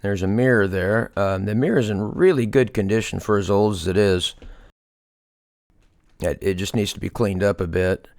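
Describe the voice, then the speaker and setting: A man with a fairly low voice and a crackly, fry-laden edge talks through a project as a hobbyist. His delivery is unscripted and casual, a little hesitant as he works things out aloud. The sound is dry, with very little room in it. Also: normal speed, a little mellow, dry recording. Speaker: hobbyist restorer presenting a project. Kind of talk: casual unscripted show-and-tell narration.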